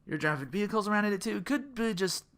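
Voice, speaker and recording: A man's voice: sits high, animated, fast, fairly bright tone. A man hosting a gaming podcast in a casual, rambling, slangy monologue, often acting out imagined quotes. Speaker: podcast host.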